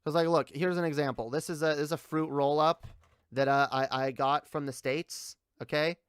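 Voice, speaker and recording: A man's voice: a little high, fairly even intonation, normal speed, clear, resonant tone, dry recording, clean studio sound. A man giving casual, off-the-cuff livestream commentary, rambling and repeating himself with lots of "like" and some swearing. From Canada. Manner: rambling, repetitive, liberal use of "like" and swearing. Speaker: livestream host reacting to a video.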